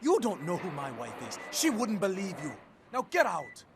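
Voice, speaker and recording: Irritable doctor, swooping higher voice. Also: male voice, huge pitch swings, moderate pace, noisy background. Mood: indignant. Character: irritable, defensive male doctor cornered by a blackmailer. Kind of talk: video game voice acting.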